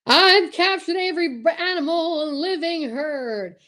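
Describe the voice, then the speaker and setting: A woman's voice: very high voice, measured pace, sharp tone, heavily acted. A woman playing a bumbling, boastful comic man in a stammering, hammy old-time radio comedy style. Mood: boastful, goofy. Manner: stammering, hamming it up. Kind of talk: 1940s radio comedy acting.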